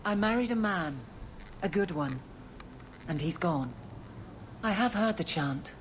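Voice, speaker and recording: A woman's voice: lowish voice, animated, measured pace, a little hiss or hum. An actress playing a dutiful, plain-spoken fantasy guardswoman and captain in a video game, firm in manner. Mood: somber, resolute.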